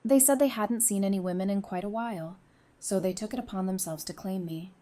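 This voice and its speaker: An actress playing a resolute, composed widowed survivor of an apocalypse in an audio drama, speaking in a measured, earnest way. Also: female voice, medium pitch, warm tone, natural voice. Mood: controlled grief.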